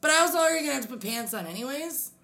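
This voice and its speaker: A young woman from Pennsylvania with a low voice, co-hosting a casual chat and telling stories with frequent laughter. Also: expressive, very fast, bright, forceful tone. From Pennsylvania, USA. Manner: chatty storyteller, laughs often. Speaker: podcast co-host.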